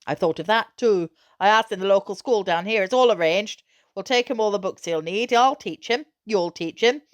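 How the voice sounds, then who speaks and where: A woman voicing an excited father in a storybook, speaking with breathless, emphatic enthusiasm about his big plans. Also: medium pitch, moderate pace, natural voice. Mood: reassuring, keen. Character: excited, eager father with big sailing dreams. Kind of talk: acted dialogue in a children's novel reading.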